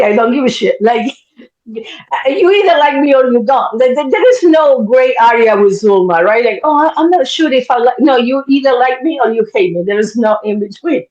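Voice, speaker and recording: A woman's voice: a little high, darkish tone, roomy sound, highs cut off. A woman in her fifties from Argentina, a therapist guest talking bluntly and directly, swearing freely. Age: 50s. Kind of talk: candid interview talk.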